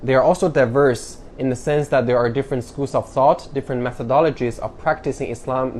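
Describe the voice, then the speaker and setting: Male YouTuber, earnest explainer, mid-pitched, faint background hiss. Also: a little mellow. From China. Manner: earnest, punches up each numbered point. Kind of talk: explainer vlog counting down a list.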